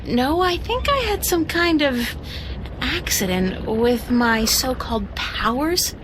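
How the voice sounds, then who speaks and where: A woman's voice: high voice, big pitch swings, slow pace, background noise, heavily acted. An actress playing a polite, curious young woman adventurer asking questions.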